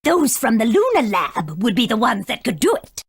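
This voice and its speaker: An actress playing a proud, aged female sage in a video game, husky, formal and haughty, stern yet kindly. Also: a little high, wildly swooping pitch, moderate pace, dry recording, full character voice. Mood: grave, explaining.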